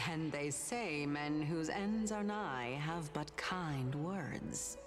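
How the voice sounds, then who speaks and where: A woman voicing a poised, teasing, otherworldly judge in a video game, measured and arch. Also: low voice. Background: game music.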